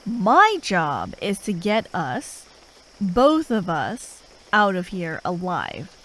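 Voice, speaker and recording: A woman playing a gruff, impatient but grudgingly caring fantasy assassin in an ASMR roleplay, sarcastic and sweary as she talks to the listener. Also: lowish voice, huge pitch swings, slow, fairly bright tone, full character voice, poor audio. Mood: indignant. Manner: sarcastic, drawling, sweary, with sighs.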